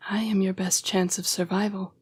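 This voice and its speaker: A woman voicing an apologetic, protective yet predatory female vampire fighting her bloodlust in an intimate audio roleplay, with hushed, formal, halting speech. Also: medium pitch, flat delivery, lightly acted. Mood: grave, protective. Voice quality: breathy, whispery. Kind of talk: intimate scripted audio roleplay addressed to the listener.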